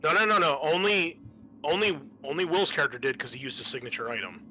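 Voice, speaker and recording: A man's voice: on the high side, lively intonation, fast talker, sharp tone. A man acting as game master, explaining and narrating a horror roleplaying game in a casual, sweary way.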